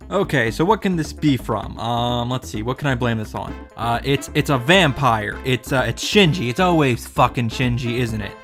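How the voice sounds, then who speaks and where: A man's voice: medium pitch, lively intonation, moderate pace, few pauses, a bit bright. Sarcastic man recapping over music. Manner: sarcastic, profane. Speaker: host of visual novel reaction summaries. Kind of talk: casual comedic recap narration.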